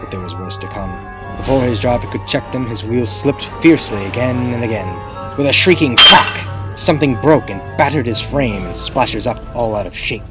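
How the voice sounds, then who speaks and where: Male storybook narrator, average pitch, theatrical delivery, over a prominent music bed. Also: moderate pace. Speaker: storybook reader.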